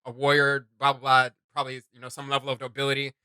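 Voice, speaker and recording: A man's voice: medium pitch, monotone, quick pace, sharp tone, smooth, no echo. A man hosting a reaction video, giving casual, opinionated commentary in an emphatic, slangy way.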